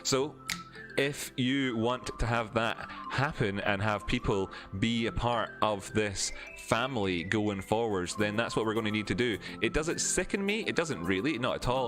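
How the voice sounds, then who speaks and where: A man's voice: on the low side, moderate pace. A Scottish man hosting a trading livestream, chatting casually with viewers and frankly repeating words for emphasis. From Scotland. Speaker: crypto trading livestream host. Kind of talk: casual livestream chat reading viewer comments. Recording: faint music under the voice. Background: faint background music.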